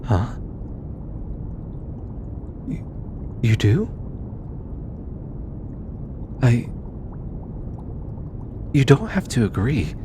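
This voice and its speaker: A gentle, flustered alien scientist played by a young man speaks close and personal to the listener in an audio roleplay. The delivery is slow and hesitant, with stammers and an animated, swinging melody. A clear rumbling noise sits behind the voice. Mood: flustered, earnest. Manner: hesitant, stammering, soft-spoken.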